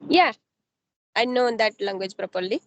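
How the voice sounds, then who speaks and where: Guest: woman from Odisha, Odia native, high voice, plain careful English, dry close sound. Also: expressive, moderate pace, dry recording. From Odisha, India. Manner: simple, careful non-native phrasing. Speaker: guest, advocate. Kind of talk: casual English practice conversation.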